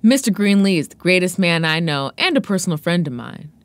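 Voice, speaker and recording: A woman's voice: on the low side, sing-song, a little fast, no room sound. Eager boy narrator of a novel, read by a woman, proud baseball fan, emphatic delivery. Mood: proud, admiring. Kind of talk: dramatic first-person novel reading.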